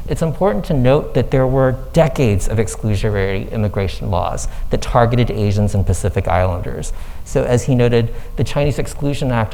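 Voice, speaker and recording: A man's voice: medium pitch, gravelly, a little mellow, poor audio. Earnest man giving a slide talk. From Thailand. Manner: earnest, measured, explanatory. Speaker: foundation executive director. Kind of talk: panel presentation with slides. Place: event room with live audience.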